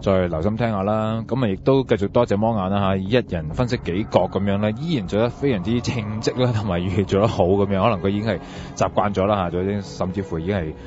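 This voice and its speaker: A man's voice: lowish voice, fast, few pauses. A man with a Hong Kong Cantonese accent giving casual commentary as a show host. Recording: dull lo-fi audio. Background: prominent background music.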